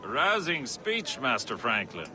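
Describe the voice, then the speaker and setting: An actor playing a haughty colonial Templar gentleman, pompous and formal, in a video game. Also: mid-range voice, huge pitch swings, takes it slowly, background noise. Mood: courteous. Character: haughty colonial Templar gentleman, courteous to equals, menacing to underlings. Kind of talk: video game cutscene acting.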